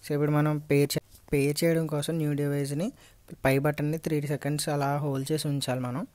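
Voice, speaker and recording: A man's voice: fairly high pitch, flat delivery, fairly quick, darkish tone, no room sound. A man with a Telugu accent giving an unscripted, step-by-step hands-on tutorial as a vlogger. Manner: explanatory, step-by-step. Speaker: vlogger reviewing and installing gear.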